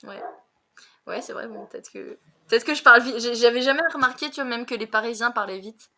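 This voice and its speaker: A young woman teaching conversation over a video call, speaking quickly with frequent giggles and "euh" fillers. Her voice is bright and forward. She sounds relaxed and chatty. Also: a little high, a little hiss or hum. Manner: fast-talking, giggly, many fillers. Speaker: French conversation tutor. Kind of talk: casual conversation lesson.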